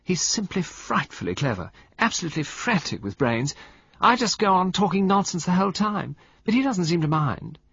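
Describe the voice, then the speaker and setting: A sweet, drawling young society woman gushes at everyone around her, as a male reader puts her on. The voice sits high and swoops a lot, a little husky. The recording is dry and dull. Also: big pitch swings, moderate pace, a bit bright, dry recording, exaggerated voice. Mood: cooing.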